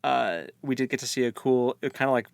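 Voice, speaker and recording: A man's voice: mid-range voice, a little fast, gravelly, clear, resonant tone, no echo. A man co-hosting a casual book discussion with laid-back, hesitant phrasing. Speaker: co-host of a book read-along discussion.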